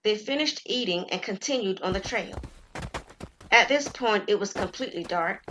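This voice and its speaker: A woman narrating an audio drama in a measured, deliberate storytelling manner. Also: mid-range voice, lively intonation, sharp tone, a little hiss or hum, poor audio.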